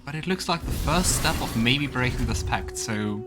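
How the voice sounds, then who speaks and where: A man's voice: mid-range voice, expressive, moderate pace, music in the background. A man hosting a let's play, musing aloud in casual game commentary.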